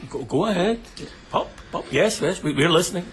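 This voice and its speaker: An actor playing a brutal, lecherous bandit leader in a radio drama, taunting with theatrical mock courtesy. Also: male voice, high voice, lively intonation, moderate pace, a bit bright, slight background noise. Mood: amused scorn.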